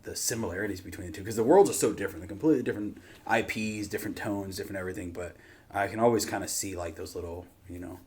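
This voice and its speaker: A man hosting a reaction show with casual, conversational commentary, often trailing off quieter. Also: sits low, very fast.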